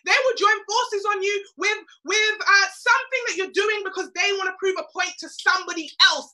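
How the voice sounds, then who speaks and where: A woman's voice: very high voice, pushed and bright, poor audio. A middle-aged Jamaican woman from Jamaica giving an emphatic, preachy motivational talk on a webcam video call, repeating lines for effect. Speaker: mentor.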